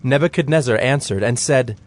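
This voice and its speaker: A man narrating scripture from a script in a measured, solemn manner. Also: medium pitch.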